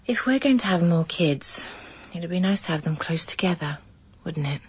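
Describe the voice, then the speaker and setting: A woman's voice: on the low side, expressive, moderate pace, breathy edge. An actress playing a baby-absorbed young wife and mother in radio comedy dialogue, earnest and conversational. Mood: coaxing. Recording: muffled audio with music.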